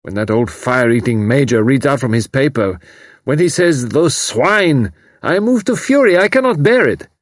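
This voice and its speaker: A man's voice: medium pitch, normal speed, no room sound, clean studio sound, pitched above the performer's own voice, close to an everyday voice. A man voicing an anguished, bitter young German refugee scientist who pleads with intensity.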